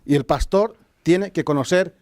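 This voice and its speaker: A man's voice: on the high side, moderate pace, a little mellow, no room sound. Earnest South American man, dry sound. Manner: earnest, repeats words. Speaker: panelist, pastor. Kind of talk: panel discussion.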